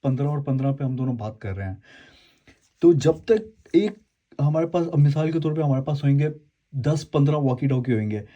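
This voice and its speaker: A man's voice: medium pitch, animated, normal speed, mellow, muffled recording. A man hosting a casual unboxing and review video, explaining to viewers in a rambling way and often repeating and correcting himself. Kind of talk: casual explanatory product demo, speaking to viewers.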